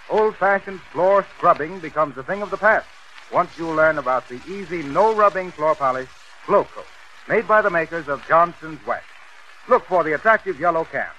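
Bright-voiced American 1930s radio announcer man. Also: fairly high pitch. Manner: polished, salesmanlike delivery. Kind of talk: 1930s radio announcing, scripted ad and scene-setting. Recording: muffled, noisy 1930s radio recording.

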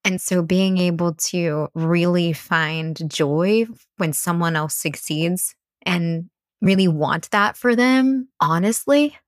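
Lower-pitched American woman, encouraging coach. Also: takes it slowly, dry recording, studio quality. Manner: encouraging, earnest. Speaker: mental coach, podcast guest. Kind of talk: conversational motivational advice.

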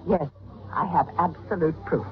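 An actress playing an anxious wronged wife, urgent and insistent, in an old-time radio drama. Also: female voice, deep voice, normal speed, natural voice. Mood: agitated, insistent. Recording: muffled, hissy old radio recording.

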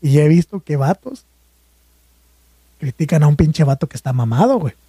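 A man's voice: fairly high pitch, expressive, normal speed, warm tone, husky, no echo. A young man with a Mexican accent rambling in casual podcast chat, slangy and swearing freely. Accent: Mexican Spanish. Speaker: podcast co-host.

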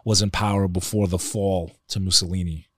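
A man with a very deep voice and a New York accent, speaking as a podcast guest in passionate, emphatic conversation. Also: level tone, normal speed, fairly bright tone, breathy edge, no room sound, clean studio sound. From United States.

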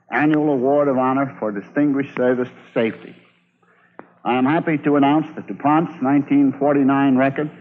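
A man's voice: mid-range voice, a little hiss or hum. An American man delivering a formal prepared award speech on 1950s radio. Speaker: safety organization official giving award speech. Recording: tinny old radio, long hall reverb.

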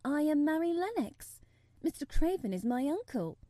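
A woman's voice: high-pitched, very animated, moderate pace, dark tone, dry recording, studio quality, pitched above the performer's own voice. A woman voicing a curious, forthright young girl, a little frightened, with emphatic questions in a storybook reading. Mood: half-frightened. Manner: gasping, faltering, emphatic questions.

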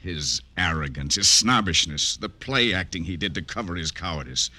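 Protective, embittered father, middle-aged, very low, tense, formal radio delivery. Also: expressive, sharp tone, full character voice. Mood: bitter, resolute. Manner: formal, measured, emphatic. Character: bitter, protective father of a grown daughter. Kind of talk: old-time radio drama acting.

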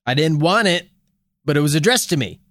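A man's voice: on the high side, expressive, fairly quick, a bit bright, no room sound, studio quality. A man with a Chicago accent co-hosting a podcast in a rambling, joking way. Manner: jokey, rambling, self-interrupting. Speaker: podcast co-host. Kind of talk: casual comedic chat.

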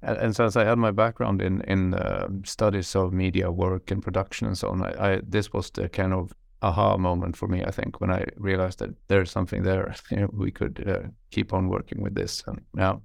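A man talks about his academic work in a hesitant, halting way, full of restarts. His very deep voice is gravelly and nearly monotone. It is recorded close and dry. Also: dry recording. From Sweden. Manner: hesitant, self-deprecating, many "uh" and restarts. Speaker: author, media scholar. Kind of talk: academic interview answers.

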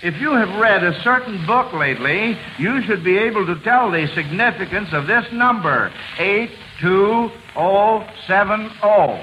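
An American man hosts a vintage radio quiz with a high voice and an unhurried delivery. He has a genial showman's manner, and the old broadcast is clearly noisy and hissy. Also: animated, pushed and bright. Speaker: quiz show host. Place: university auditorium.

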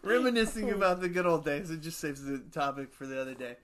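Middle-aged guy, high and light voice, swaps loose, laughing anecdotes as a guest. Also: quick pace. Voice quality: high-pitched voice for his size. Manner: animated storyteller, acts out quotes with laughs. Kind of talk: casual storytelling chat.

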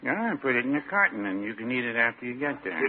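Casting: mild small-town American druggist, dry humor, medium pitch, thin old radio comedy sound. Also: male voice, fast. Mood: mild, helpful. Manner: dry, deadpan, folksy. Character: droll, deadpan small-town druggist, mild married man. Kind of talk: classic radio comedy acting. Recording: tinny, noisy old radio recording.

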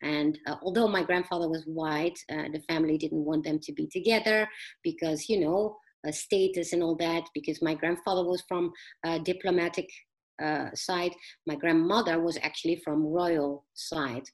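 A middle-aged woman speaking as a podcast guest, telling personal stories conversationally in a halting, earnest, explanatory way. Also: low-pitched, steady tone, rough recording.

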